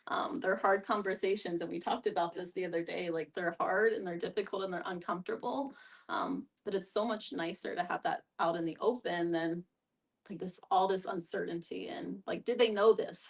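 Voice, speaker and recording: A woman's voice: on the low side, steady tone, moderate pace, creaky. A woman speaking as a therapist leading a group discussion, thoughtful and a little hesitant, often checking in with her listeners. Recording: group in room, distant mic.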